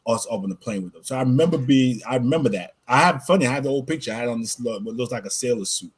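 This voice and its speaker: A man's voice: medium pitch, animated, racing, breathy edge. A man born in Jamaica and raised in the US, talking loosely in a casual interview with lots of "you know" and "right?". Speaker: podcast co-host being interviewed.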